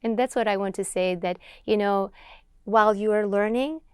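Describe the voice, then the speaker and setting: A gentle, earnest woman, speaking English as an in a conversational interview. Her voice sits in a middle register, and the sound is close and dry with no room around it. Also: medium pitch, smooth, no room sound. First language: Georgian. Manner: gentle, earnest, soft-spoken with slow recited lines. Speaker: poet and author, interview guest. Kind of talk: conversational interview with poetry recitation.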